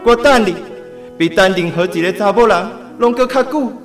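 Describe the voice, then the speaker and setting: An actor with a Taiwanese accent playing a smooth-talking, theatrical master film narrator in a radio drama. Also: male voice, sits high, moderate pace, over music, natural voice. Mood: ardent, theatrical. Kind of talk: radio drama acting, live film dubbing.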